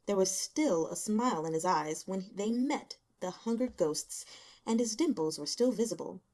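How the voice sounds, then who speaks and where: A woman reading a story aloud as dramatic, expressive scripted narration. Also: mid-range voice, normal speed. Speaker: audiobook reader.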